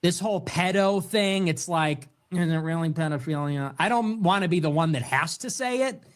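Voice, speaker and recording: A man's voice: a little high, moderate pace. A man giving an informal opinion monologue in an argumentative, emphatic way. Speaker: commentator in a played clip.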